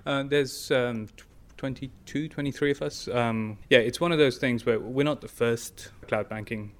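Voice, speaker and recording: A man's voice: medium pitch, normal speed, fairly bright tone. A young man, a startup founder, answering in a casual interview with long explanations full of fillers and restarts.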